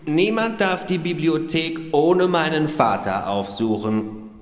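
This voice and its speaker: Slurring, swooping drunken young gentleman. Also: on the high side, animated, unhurried, faint music behind. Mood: cocky, tipsy. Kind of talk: audio drama acting.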